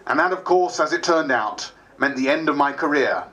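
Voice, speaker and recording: A man's voice: fairly high pitch, lively intonation, normal speed, pushed and bright. A man playing a wry, candid diarist who is new to politics, narrating dramatised diary entries.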